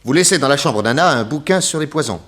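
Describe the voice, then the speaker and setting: A man's voice: on the high side, expressive, fast talker, fairly bright tone. A man playing a confident detective in a 1960s French radio drama, speaking in a theatrical, emphatic, methodical way. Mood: relentless exposition. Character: confident male detective laying out an accusation.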